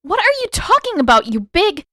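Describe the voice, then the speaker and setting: A woman reader puts on a feisty teenage girl from a fantasy audiobook. Her voice sits high and comes across emphatic and stubborn, with an exasperated edge. The sound is dry. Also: huge pitch swings, moderate pace, pushed and bright, dry recording, full character voice. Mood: indignant.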